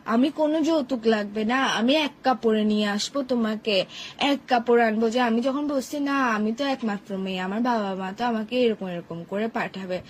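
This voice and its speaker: A young woman, sharp-tongued and scolding nonstop on a comic phone call. She fires off insult after insult, and faint background noise sits behind her voice. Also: a little high, moderate pace.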